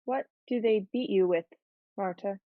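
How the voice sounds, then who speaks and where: A slightly lo-fi, close and dry recording of a romantic teenage girl in a period drama, played by a woman. The pitch is mid-range. The manner is self-assured and happy. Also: a little slow, no echo, natural voice. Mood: sympathetic. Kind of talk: dramatic reading of a period play.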